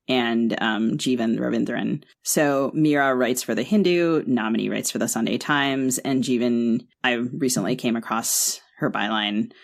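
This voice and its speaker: A woman's voice: a bass voice, creaky. A woman speaking as an author in an interview, giving articulate, carefully qualified answers with emphatic repetition. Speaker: author, podcast guest. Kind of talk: thoughtful conversational interview answers.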